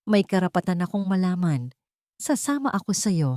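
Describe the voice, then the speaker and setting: A woman's voice: on the low side, very animated, moderate pace, mellow, no echo, studio quality. A woman voicing a demanding, bewildered rich young man in an audio drama, insistent and intense. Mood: indignant. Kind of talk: dramatic audio story acting.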